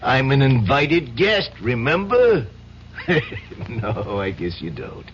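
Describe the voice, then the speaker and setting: An actor with an American accent playing a streetwise, slangy, loyal but menacing pal in an old-time radio drama, casual and wisecracking. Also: male voice, medium pitch, very animated, slow pace. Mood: breezy, teasing. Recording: dull, faintly noisy old radio recording.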